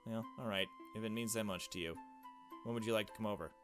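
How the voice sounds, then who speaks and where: A man voicing a mild, flustered gentleman from a visual novel, with fussy, effusive politeness. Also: lowish voice, quick pace, music in the background, lightly acted. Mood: doubtful. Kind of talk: visual novel dialogue read aloud.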